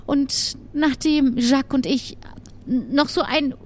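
A woman voicing a troubled, secretive witch who trades in dark goods, speaking in an earnest, halting, pleading way in an improvised audio adventure. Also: high-pitched. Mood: secretive, worried.

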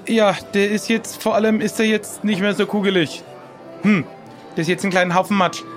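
A man's voice: high voice, level tone, fairly quick, soft background music, lightly acted. A man playing a nervous young pirate lad who stammers and hesitates, in an improvised comic audio play. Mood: hesitant, fearful. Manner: stammering, hesitant, repeats words.

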